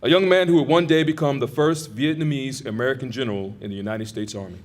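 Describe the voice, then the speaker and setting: A man serving as master of ceremonies, introducing a speaker in a measured, formal announcing manner. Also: mid-range voice.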